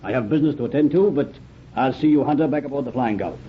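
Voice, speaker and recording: An actor playing a bold, confident buccaneer captain in an old radio drama, with hearty archaic seafaring phrasing. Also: male voice, mid-range voice, fast, lightly acted. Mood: brisk, trusting. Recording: dull, noisy old radio recording.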